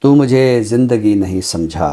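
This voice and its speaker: A Pakistani man speaking formally and deliberately as a literary commentator, with emphatic, repeated phrasing. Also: on the low side, lively intonation, dark tone. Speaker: literary commentator on a talk show. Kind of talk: measured, formal literary discussion and poetry recital.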